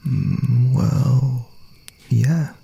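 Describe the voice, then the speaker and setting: A man playing a gentle, teasing young boyfriend in an intimate ASMR roleplay, murmuring softly and reassuringly in a breathy, gravelly voice. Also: steady tone, slight background noise, exaggerated voice. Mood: musing. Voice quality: soft, breathy, husky, gravelly low murmur. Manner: unhurried, rambling, reassuring, with pauses.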